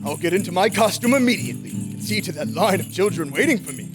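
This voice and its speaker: A kindly old Santa played by a man in old-time radio style. The voice sits high and swings widely in pitch, gentle and theatrical, with music behind it. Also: expressive, quick pace. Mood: warm, pleased.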